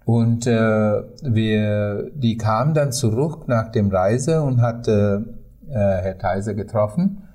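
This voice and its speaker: Slow, mellow man with Sri Lankan accent. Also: on the low side. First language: not German. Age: 50s or older. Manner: halting, non-native German with frequent hesitations. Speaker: tour guide, podcast guest. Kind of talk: conversational storytelling in an interview.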